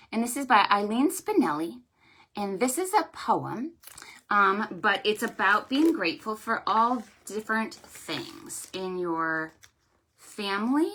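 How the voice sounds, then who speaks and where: A woman leading a children's storytime, speaking in an animated sing-song way with exclamations and questions for young listeners. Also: mid-range voice, a little hiss or hum. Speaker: children's librarian hosting storytime. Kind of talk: children's storytime with read-aloud and pretend play.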